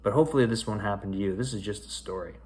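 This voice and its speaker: A man reading a children's bedtime story aloud in a theatrical, suspenseful way. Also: lowish voice. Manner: theatrical, drawn-out, suspenseful delivery. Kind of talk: dramatic storybook read-aloud with casual asides. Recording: roomy, echoey sound.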